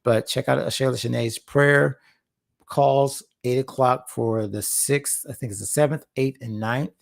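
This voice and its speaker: A man with a gravelly, fry-laden voice that is a little hoarse from talking a long while. He talks earnestly to livestream viewers, circling back and repeating words to drive a point home. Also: mid-range voice, steady tone, unhurried. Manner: earnest, repeats words for emphasis, rambling asides. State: slightly hoarse after a long session. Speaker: host and founder of a faith-focused creative platform. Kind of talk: casual livestream talk to viewers.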